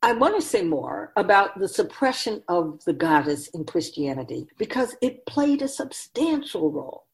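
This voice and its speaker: A woman playing a wise, teasing, ageless female spirit guide in a radio drama, speaking in a measured, knowing way. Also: on the low side, sing-song. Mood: earnest, instructive. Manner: measured, knowing, rhetorical questioning.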